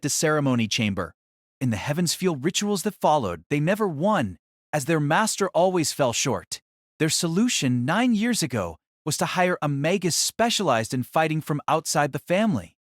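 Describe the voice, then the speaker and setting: A man's voice: on the high side, no echo, studio quality. A man narrating a light novel in a dramatic, scripted storytelling style.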